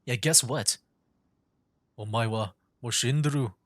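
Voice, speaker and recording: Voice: mid-range voice, slow pace, fairly bright tone, no echo. A streamer casually reading game dialogue aloud and joking while playing.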